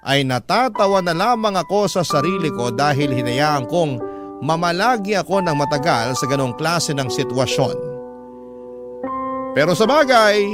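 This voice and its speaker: A man's voice: on the high side, lightly acted. A man playing a heartbroken young parent, narrating a painful breakup in an earnest, emotive storytelling way. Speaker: radio drama narrator. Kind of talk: first-person dramatic story narration in letter form. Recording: music bed under the voice. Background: background music.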